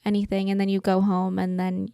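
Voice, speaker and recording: A young woman chatting on a podcast in a loose, rambling way, filling her speech with "like". Her delivery stays flat in pitch, with a creaky fry. The voice itself comes across smooth and clear. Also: a little fast, no room sound, studio quality. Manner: rambling, heavy use of "like". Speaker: podcast co-host. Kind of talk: casual chat.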